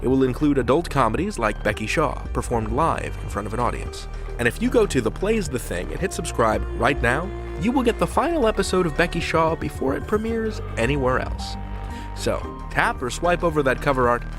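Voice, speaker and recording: Animated male podcast host over music. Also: mid-range voice, a little fast. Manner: theatrical, playful. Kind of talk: scripted podcast intro and promo. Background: music bed.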